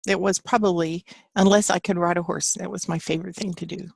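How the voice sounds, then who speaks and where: A woman's voice: on the low side, steady tone, brisk, creaky, slightly rough, dry recording, clean studio sound. A woman teaching a live online class from her home office, chatting with students and reading their answers aloud in an encouraging way. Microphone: computer mic on a video call.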